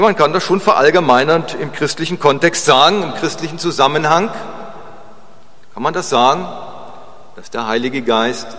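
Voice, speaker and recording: A man preaching informally and chattily, in a halting way with pauses, repeated phrases and short tag questions. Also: medium pitch, a bit bright. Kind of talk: informal sermon with digressions. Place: large reverberant room at a church service. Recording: long reverb of a large hall.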